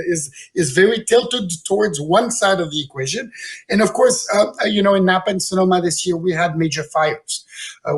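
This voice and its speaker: A man from Switzerland speaking as a guest winemaker, telling stories informally and haltingly, often repeating words. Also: high-pitched. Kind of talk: informal storytelling in an online round table.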